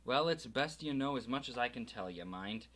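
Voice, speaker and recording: A man voicing a huge, gruff but kindly giant with rough, folksy speech in an audiobook reading. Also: medium pitch, animated, normal speed, fairly bright tone, natural voice. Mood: low worried.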